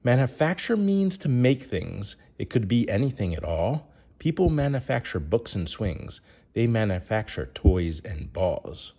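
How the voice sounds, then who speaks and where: A man's voice: fairly low pitch, very animated, unhurried, creaky, dark tone. A man reading a rhyming children's picture book aloud in a sing-song way, putting questions to young listeners.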